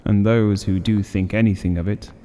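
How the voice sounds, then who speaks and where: A man acts out a weathered old sergeant major for a storybook reading. He speaks slowly and gravely, with gruff, dogged warning. The voice is low and mellow, steeped in long reverb. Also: fairly even intonation, natural voice. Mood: slow, weary. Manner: slow, solemn, dogged. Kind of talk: audiobook character voice. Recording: long reverberant hall-like echo.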